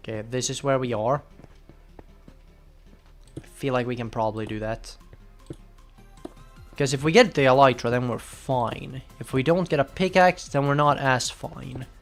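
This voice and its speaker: Excitable young man streaming. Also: medium pitch, moderate pace, pauses often, faint music behind. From Finland. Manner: chatty, bursts into loud excited outbursts. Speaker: game streamer. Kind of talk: casual live gameplay commentary.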